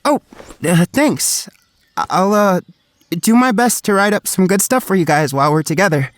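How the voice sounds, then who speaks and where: A man's voice: sits high, animated, normal speed, dry recording. An actor playing a shy, anxious young male student, narrating in the first person in an audio drama with an earnest, introspective manner. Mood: nervous, polite. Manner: earnest, introspective, hesitant in dialogue.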